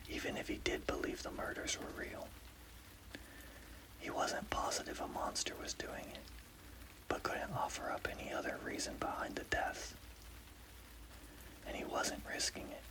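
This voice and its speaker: A man narrating a scary story as whispered ASMR, in a hushed, deliberate, breathy voice with a gravelly creak. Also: low-quality recording.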